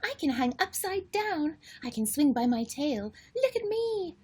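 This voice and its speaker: A woman's voice: high-pitched, very animated, unhurried, a little mellow, pitched above the performer's own voice. A woman voicing a nosy, boastful female possum who shows off dismissively in a children's storybook. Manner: bragging, dismissive.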